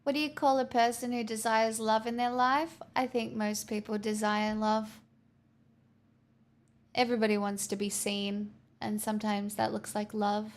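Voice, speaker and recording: Gravelly-voiced woman streamer chatting. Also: fairly high pitch, little pitch movement, normal speed. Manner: candid, rambling, frequent restarts and swearing. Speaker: live streamer. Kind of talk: casual chat, answering viewer messages.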